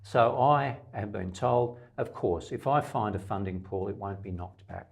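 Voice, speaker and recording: Australian man, middle-aged, political candidate, emphatic, low voice, gravelly. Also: a little slow. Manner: emphatic, speeches in long lists, occasional stammered restarts. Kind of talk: campaign interview answers.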